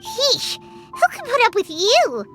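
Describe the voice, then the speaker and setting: A woman voicing a tiny, excitable fairy companion in a video game, with a squeaky, childlike voice and an easily indignant, exclamatory manner. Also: slow pace, music in the background, heavily acted. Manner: exclamatory, indignant, stammers when startled. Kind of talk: English video game voice acting.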